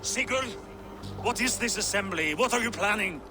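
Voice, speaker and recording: A man's voice: high voice, lively intonation, normal speed, over music. A man playing a stern, commanding old Viking father and king in a game cutscene, speaking formally and weightily. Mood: demanding. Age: older. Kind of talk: dramatic game cutscene acting. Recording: clear background noise, waterside ambience.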